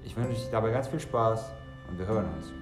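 A man's voice: lowish voice, a little fast, reverberant, music in the background. A bilingual German and Serbian man hosting a solo podcast, talking conversationally and directly to listeners, often rephrasing as he goes. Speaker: podcast host.

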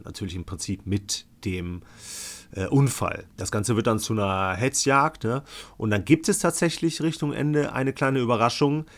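A man's voice: mid-range voice, vocal fry. A man giving a casual, colloquial film review as a podcast co-host.

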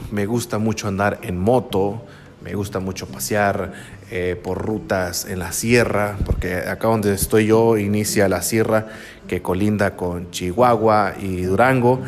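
A man's voice: on the low side, big-room sound. A young man from Sinaloa, Mexico, with a Sinaloan accent, a radio announcer chatting casually and a little rambling, with fillers and laughs, on his podcast. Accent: northwestern Mexican Spanish (Sinaloa). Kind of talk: casual first-person podcast chat.

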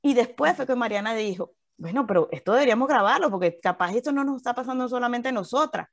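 A woman's voice: a little high, animated, fast talker, dry recording, clean studio sound. A Venezuelan woman in her thirties co-hosting a podcast, chatting casually with many fillers and restarts. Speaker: podcast co-host.

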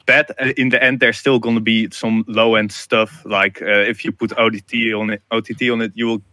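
A man's voice: on the low side, flat delivery, a little fast, pushed and bright, dry recording. A man giving rambling, casual advice about mixing on a group call. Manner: advising, rambling, casual swearing. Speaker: music producer on a group call.

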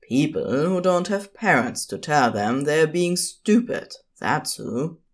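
A narrator as a slow, weary, sardonic male model. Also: mid-range voice, animated. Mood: exasperated. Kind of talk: fan fiction audiobook character voice.

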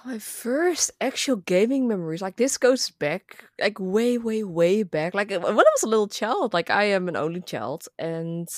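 A woman's voice: mid-range voice, big pitch swings, moderate pace, hardly any pauses, dry recording. Young female guest, chatty and rambling, very dry recording. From Netherlands. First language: Dutch. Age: young adult. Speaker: guest, gaming content creator. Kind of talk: casual chat.

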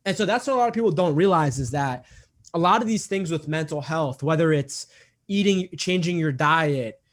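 Young dude in his 20s, earnest, kinda high voice, repeats stuff a lot. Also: moderate pace. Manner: earnest, repeats himself, many fillers. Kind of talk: casual interview talk.

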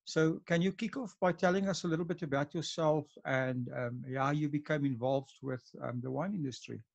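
Hesitant male podcast host, higher voice. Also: moderate pace, vocal fry, highs cut off. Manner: hesitant, restarts sentences. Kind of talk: interview hosting.

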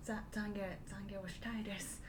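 A woman's voice: mid-range voice, level tone, fairly quick, far from the mic, crowd noise. A woman co-hosting a podcast, telling personal anecdotes in a casual, self-deprecating, confessional way. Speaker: podcast co-host.

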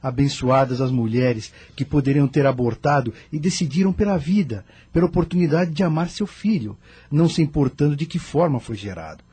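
Man playing a very husky, earnest doctor. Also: fairly high pitch, dark tone, dry recording. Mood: earnest, admonishing. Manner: earnest, deliberate persuasion. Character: earnest, moralizing male doctor. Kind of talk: radio drama acting.

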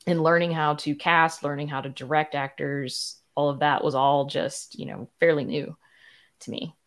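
A woman's voice: deep voice, steady tone, moderate pace. A woman speaking as a podcast guest in a casual interview, candidly explaining things and often saying "you know". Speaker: podcast guest, audio drama producer.

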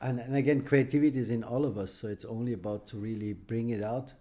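A man's voice: medium pitch, steady tone, fast, mellow. A middle-aged man from Iran, English speaker, giving emphatic, opinionated answers as a podcast guest and often ending with a questioning "no?". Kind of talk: podcast interview.

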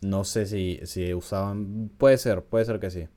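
Low, mellow-voiced man telling a story. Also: fairly even intonation, measured pace. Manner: chatty, laughs often, frequent "¿no?" tags. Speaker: podcast host. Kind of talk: casual storytelling podcast.

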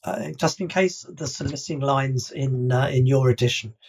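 A man's voice: medium pitch, normal speed, breathy edge. A man co-hosting a podcast in casual discussion, reading out excerpts from an old radio script. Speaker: podcast co-host.

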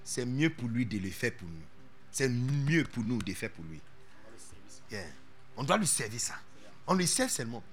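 A man preaching a sermon as pastor, animated and playful, dropping English interjections into his talk. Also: medium pitch, normal speed, long pauses, soft background music.

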